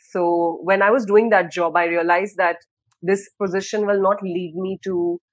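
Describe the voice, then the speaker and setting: A woman in her thirties from Dubai talks about her career on a podcast. Her speech is relaxed and reflective, full of small pauses. The recording is dry and close, with no room sound. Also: on the low side, no echo, low-quality recording. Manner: thoughtful, many fillers and restarts. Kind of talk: casual interview talk.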